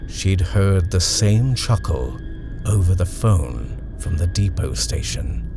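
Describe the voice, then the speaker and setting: A man narrates a horror story in a deep, unhurried and expressive voice, his pitch rising and falling in lively arcs. Music plays steadily behind him. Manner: measured and expressive.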